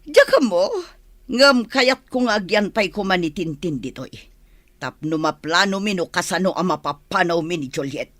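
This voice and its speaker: A woman's voice: on the low side, expressive, normal speed, dry recording, natural voice. A woman with an Ilocano accent playing a scheming, disapproving middle-aged mother-in-law in a radio drama. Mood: plotting.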